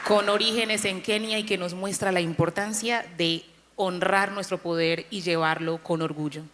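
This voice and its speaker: A woman's voice: on the low side, steady tone, brisk, fairly bright tone, slight background noise. A woman moderating a panel in a polite, formal manner.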